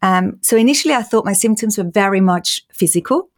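A woman's voice: mid-range voice, expressive. Earnest Austrian woman speaking openly. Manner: earnest, open, quick-flowing. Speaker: guest, patient advocate. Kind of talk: candid interview conversation.

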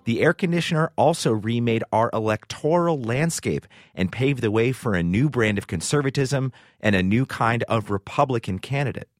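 A man's voice: mid-range voice, expressive, moderate pace, few pauses, no echo, studio quality. A man with an American accent presenting and interviewing as a radio host.

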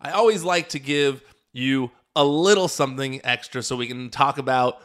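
A man's voice: medium pitch, moderate pace, a bit bright. A man hosting a podcast alone, introducing a best-of episode in an earnest, rambling way with frequent restarts. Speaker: podcast host.

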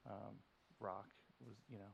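A man from Denton, Texas, a podcast guest, talking casually and haltingly, full of "like" and "um". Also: fairly low pitch, flat delivery, creaky, a little hiss or hum, low-quality recording. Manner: halting, lots of "like" and "um".

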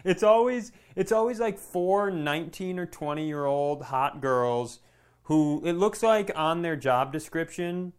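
Animated comedian, slightly high-pitched male voice. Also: measured pace, clean tone. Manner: animated, exaggerating, profane storytelling. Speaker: podcast co-host, comedian. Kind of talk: casual comedic podcast banter.

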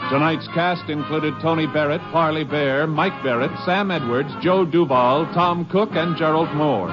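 A man's voice: on the high side, moderate pace, over music. A male radio announcer reading credits formally, on a dull 1950s radio transcription.

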